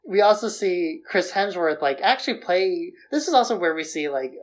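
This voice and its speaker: A man's voice: high voice, animated, moderate pace. A man talking casually as the co-host of a podcast, in an informal discussion of films.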